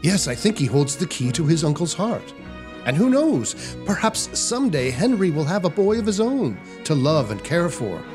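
An actor as a kindly but patronizing Victorian family man in a radio play. His voice is fairly high and very animated, genteel, over light music. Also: normal speed. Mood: fond. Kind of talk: theatrical audio drama acting.

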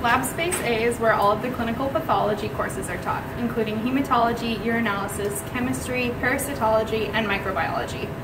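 A young woman student guiding a tour of a veterinary teaching clinic in a steady, scripted, informative way. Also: a little high, fast, hardly any pauses. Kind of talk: guided facility tour. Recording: echoey room, noisy background.

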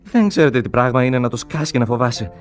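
An actor playing an earnest, hesitant young thief in love in a Greek radio play, flustered and protesting. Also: male voice, medium pitch, expressive, natural voice. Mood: troubled, ashamed. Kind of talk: Greek radio theatre acting. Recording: radio play with music behind.

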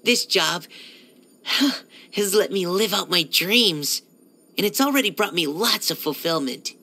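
An actor playing a shy, earnest, polite young knight surveyor in a video game, speaking hesitantly and eager to please. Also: male voice, high-pitched, lively intonation, takes it slowly, creaky, sharp tone, exaggerated voice. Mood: earnest, fulfilled. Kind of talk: scripted video game character lines.

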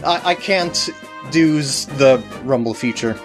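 A young man giving casual, unscripted walkthrough commentary in a halting way with wry asides. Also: mid-range voice, music in the background.

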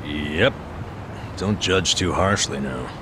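An actor voicing a calm, weary, understated man in a video game, with a dry, unhurried manner. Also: a bass voice, background noise. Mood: wry. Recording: music behind the voice.

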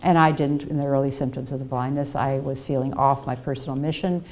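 A woman's voice: extremely low pitch, steady tone, fairly quick, a little mellow. An older woman giving a reflective personal talk at a podium in a library auditorium, telling stories in an earnest way, on a headset mic. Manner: earnest, reflective storyteller, acts out quoted voices. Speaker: guest speaker.

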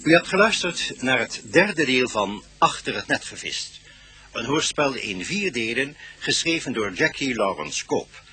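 A man's voice: medium pitch, very animated, unhurried, pushed and bright. A male radio announcer giving a formal, scripted reading of programme credits.

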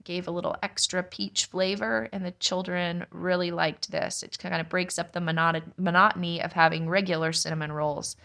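A young woman, a mother, casually narrating a home cooking vlog in a relaxed, explanatory way. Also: lowish voice, normal speed, gravelly, few pauses. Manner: relaxed, explanatory, chatty with light laughs. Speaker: homestead vlogger, mother.

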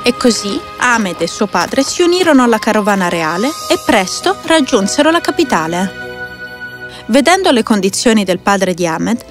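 A woman narrating a scripted fairy tale for children as a storyteller. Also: mid-range voice. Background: faint music.

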